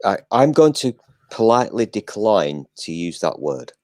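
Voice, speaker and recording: An older man hosting a casual live chat, talking in a flat, self-deprecating way and swearing now and then. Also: on the low side, normal speed, no room sound, clean studio sound.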